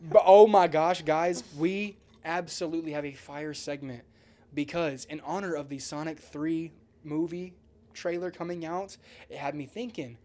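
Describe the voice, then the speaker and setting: Excitable higher-pitched man, slangy podcast chat. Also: normal speed. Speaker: podcast co-host.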